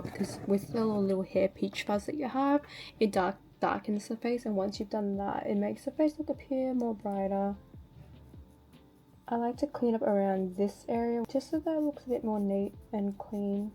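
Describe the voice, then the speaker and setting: A woman's voice: medium pitch, normal speed, dark tone. A woman chatting casually to viewers as a beauty vlogger, informally narrating each step of a routine. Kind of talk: casual tutorial chat to viewers.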